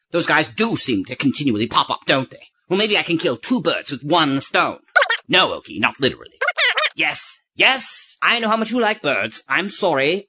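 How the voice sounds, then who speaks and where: A man's voice: on the high side, husky, exaggerated voice. A man playing a prissy, exasperated mission leader with a fussy, melodramatic manner in a comedic audio drama. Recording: muffled lo-fi sound under loud music.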